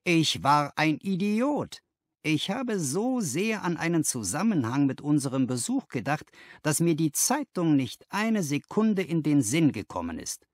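A man voicing an excited, commanding detective in an audiobook, with emphatic exclamations. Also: on the high side, measured pace, no room sound, studio quality, pitched above the performer's own voice. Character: excited, commanding male detective, sharp and assured. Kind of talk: audiobook character dialogue.

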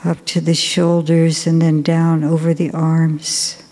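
A woman, a Buddhist nun, guiding a meditation in a slow, gentle, soft-spoken way with long pauses. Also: low voice, completely flat delivery. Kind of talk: guided meditation. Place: large cathedral. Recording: long cathedral reverb.

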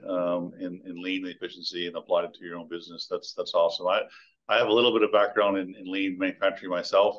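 A middle-aged man hosting an interview over a video call, polite and affirming, with halting restarts. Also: low-pitched, a bit bright. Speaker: interview host. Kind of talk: interview questions. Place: room with brick wall. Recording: dull lo-fi video call audio.

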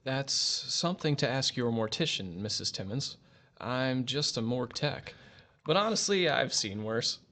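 Casting: actor as offhand morgue worker for horror drama, mid pitch with vocal fry. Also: male voice, expressive, a little slow, sharp tone. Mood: matter-of-fact. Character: blunt, casual morgue technician. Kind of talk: horror audio drama acting.

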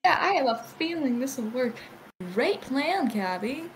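A woman's voice: high voice, expressive, unhurried. A woman ad-libbing a joking cartoon fandub in a casual, irreverent way. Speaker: amateur fandub voice performer. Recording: noisy amateur home recording.

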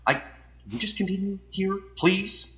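A man's voice: high-pitched, flat delivery, moderate pace, dull audio, slight background noise. A man playing a bossy, self-important bank robber gang leader in a comedy audio drama, theatrical, sarcastic and foul-mouthed. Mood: flustered, placating. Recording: loud music behind the voice, roomy echo.

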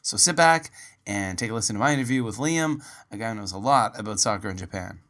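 Man, Canadian accent, podcast host, bright tone, rambling, lots of fillers and restarts. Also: fairly low pitch, animated, fast, slight background noise. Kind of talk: casual interview.

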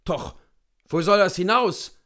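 A man voicing an old coachman who lectures loudly in a read-aloud story. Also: high-pitched, takes it slowly, pitched above the performer's own voice, full character voice. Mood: lecturing. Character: old male coachman, loud and know-it-all.

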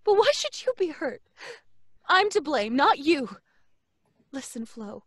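Casting: guilt-wracked young woman, high voice, slow dramatic pleading, radio horror. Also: very animated, fairly bright tone, dry recording, exaggerated voice. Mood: desperate pleading. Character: anguished guilt-ridden young woman, self-sacrificing, darkly resolute. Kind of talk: old-time radio horror acting. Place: home recording, live remote video call.

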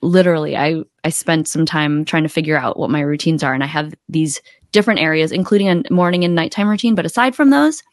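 An American woman talks casually, sharing advice as a guest on a podcast. Her pitch is a bit on the low side. She is close to the microphone, with a very dry sound. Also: fast, no echo. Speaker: podcast guest, accountability coach. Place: home room indoors, video call.

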